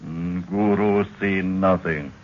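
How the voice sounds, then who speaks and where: Casting: superstitious African servant, very low slow voice, terse broken English, vintage jungle radio serial. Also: male voice, steady tone. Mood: ominous. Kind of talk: 1940s radio acting. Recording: muffled, noisy old radio recording.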